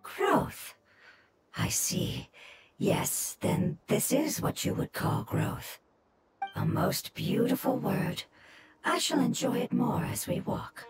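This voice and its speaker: A woman voicing a gentle floating fungus creature in a video game, with soft, measured, reflective speech. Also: fairly high pitch, expressive. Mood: serene. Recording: game music under the voice.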